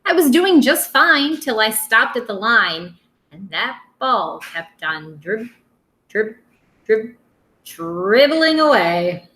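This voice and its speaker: A woman reads aloud to children in a roomy, echoey space. Her voice is bright and very animated, rising and dipping with playful drama. She sounds like a schoolteacher performing for her young students. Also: a little high, takes it slowly. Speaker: teacher reading aloud to students. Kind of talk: expressive children's read-aloud.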